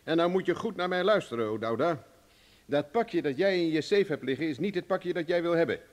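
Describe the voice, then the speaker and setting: An actor as a cocky, taunting adventurer. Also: male voice, on the high side, lively intonation, brisk, close to an everyday voice. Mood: taunting, confident. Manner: self-assured, taunting, commanding. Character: cocky, hard-bargaining male adventurer, threatening and mocking. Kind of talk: radio drama acting.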